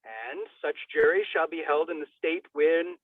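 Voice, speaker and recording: A man's voice: fairly high pitch, normal speed, dry recording. A man giving a hammy, theatrical dramatic reading, stumbling over words and dropping in comic asides. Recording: thin phone-like band-limited sound.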